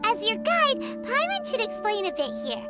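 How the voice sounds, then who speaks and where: Super high squeaky little fairy girl, bouncy voice all over the place, game music behind. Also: wildly swooping pitch, normal speed, full character voice. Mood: curious. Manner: bubbly, inquisitive, childlike. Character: tiny chirpy female fairy guide companion. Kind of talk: video game cutscene voice acting.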